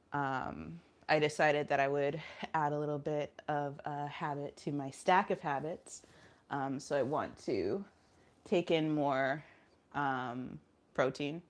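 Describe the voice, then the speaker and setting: Stuffy nasal woman vlogging, low voice, slow and tired, lots of ums, doing squats while she talks. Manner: low-energy, rambling with many fillers. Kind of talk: casual chat vlog, streaming live.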